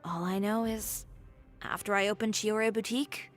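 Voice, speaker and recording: An actress playing a proud, cool young fashion designer in a video game, speaking in a composed, self-assured way. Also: female voice, medium pitch, fairly even intonation, moderate pace, lightly acted. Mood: reflective. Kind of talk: scripted video game dialogue. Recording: game music behind the voice.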